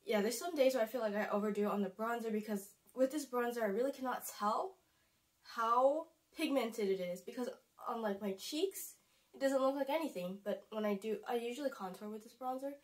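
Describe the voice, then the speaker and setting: A woman's voice: a little high, brisk. A young woman with a stuffy, congested voice from a cold, chatting casually to camera as a beauty vlogger, rambling and correcting herself.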